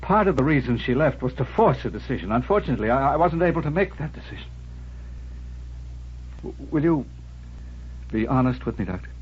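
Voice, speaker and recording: An actor playing a troubled, indecisive doctor in a hesitant, brooding 1940s radio drama style. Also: male voice, medium pitch, animated, a little fast, pauses often, slightly rough. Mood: anguished, hesitant. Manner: hesitant, brooding, self-deprecating. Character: troubled doctor, brooding, indecisive husband and would-be psychiatric patient. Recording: dull, hissy old radio broadcast, humming.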